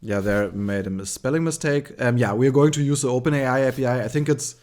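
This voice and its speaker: A man with a German accent walks through a tutorial in a loose, unscripted way. He thinks aloud as he goes, filling pauses with ums and likes, at a medium pitch. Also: darkish tone. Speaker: tutorial host. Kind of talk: casual screen-share tutorial.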